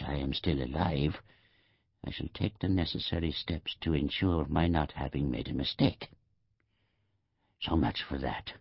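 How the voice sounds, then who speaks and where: An actor playing a dying old man in an audiobook monologue, gravelly and husky, musing wryly in halting phrases. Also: rumbling low, no room sound, lo-fi sound, heavily acted. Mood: methodical, musing. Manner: halting, ruminative, self-correcting in short phrases. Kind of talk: scripted audiobook narration, first-person literary monologue.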